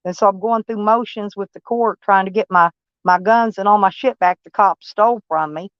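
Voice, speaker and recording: A woman's voice: mid-range voice, normal speed, no echo, dull audio. A woman with a Texas accent, originally from Kentucky, hosting a live stream and chatting with viewers in a rambling, folksy, blunt way, with some swearing. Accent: Texan. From Kentucky, USA. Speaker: livestream host.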